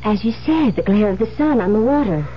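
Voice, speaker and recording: An actress playing a naive, anxious young newlywed wife in an old-time radio drama. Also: female voice, medium pitch, expressive, a little fast, close to an everyday voice. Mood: calm, soothing. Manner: breathy gasps, anxious, pleading. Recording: dull, noisy old radio recording.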